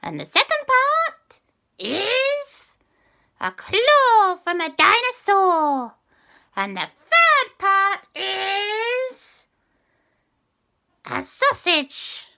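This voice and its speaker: A woman voicing a female cat witch ghost who speaks high and drawn out, with purrs and cackles. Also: huge pitch swings, drawn-out words, creaky, long pauses, bright, forceful tone, heavily acted. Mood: grand.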